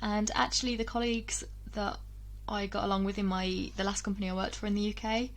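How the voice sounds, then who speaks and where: A young woman talks casually to her camera, pausing on ums and doubling back on her words. Her voice sits at a middling pitch, and her talk is relaxed and personal. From England, UK. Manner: chatty, frequent ums and restarts, laughs between thoughts. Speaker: vlogger.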